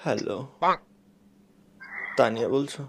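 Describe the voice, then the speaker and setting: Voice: mid-range voice, measured pace, heavy background noise. A person talking casually in a plaintive, insistent, pleading and argumentative way. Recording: music behind the voice. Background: music.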